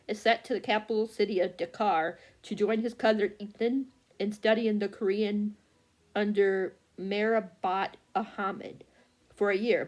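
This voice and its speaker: A woman's voice: mid-range voice, darkish tone. A woman chatting casually about upcoming books, rambling with lots of fillers and restarts while working a phone. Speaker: book vlogger. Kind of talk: casual chat.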